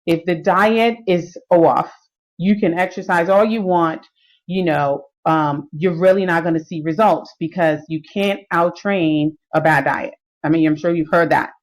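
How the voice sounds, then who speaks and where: A middle-aged woman with a slightly low voice presents a health and fitness talk on a live stream. She explains things loosely, pausing often on fillers and restarting her sentences. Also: fairly even intonation, poor audio.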